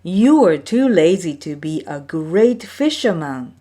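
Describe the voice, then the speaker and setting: A woman's voice: lowish voice, very animated, slow, warm tone, exaggerated voice. A woman voicing the scornful, exasperated older brothers in a storybook folktale, scoffing and dismissive.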